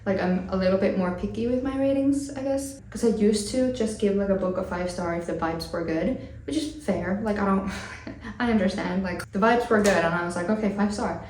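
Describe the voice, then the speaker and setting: A woman's voice: mid-range voice, fairly quick, darkish tone, echoey room, recorded from a distance, slight background noise, low-quality recording. A woman in her twenties from Finland, chatting casually and rambling with fillers while doing her makeup for a vlog. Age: 20s. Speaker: vlogger. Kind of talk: chatty get-ready-with-me vlog.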